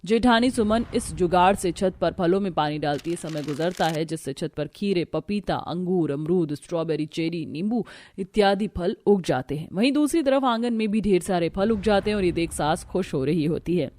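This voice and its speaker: A woman's voice: lowish voice, moderate pace, warm tone, a little hiss or hum. A woman narrator reading a scripted family story for a cartoon.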